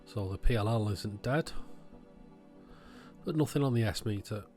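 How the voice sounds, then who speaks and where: A man's voice: fairly low pitch, normal speed, a little mellow, soft background music. A man giving informal, matter-of-fact commentary on an electronics repair, thinking aloud as he works. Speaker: electronics repair video host.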